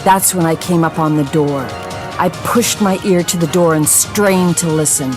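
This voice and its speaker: An actress playing a tough, protective police detective in a hard-boiled audio drama. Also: female voice, lowish voice, moderate pace, over music, lightly acted. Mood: brooding.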